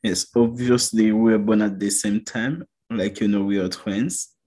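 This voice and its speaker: A man's voice: lowish voice, a little slow, mellow, breathy edge. A man in his thirties from Haiti with a Haitian accent, answering a podcast interview in friendly, simple English. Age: 30s. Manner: friendly, simple non-native English with fillers like "like, you know". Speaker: doctoral student guest.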